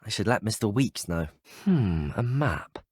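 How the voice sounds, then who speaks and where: An earnest teenage student wizard, the helpful hero of a fantasy video game. His British voice sits fairly low with a husky grain and moves through expressive rises and falls. He speaks politely and with measured, well-spoken curiosity. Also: dry recording, studio quality, exaggerated voice. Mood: musing, determined. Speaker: video game player character. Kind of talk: scripted game voice acting.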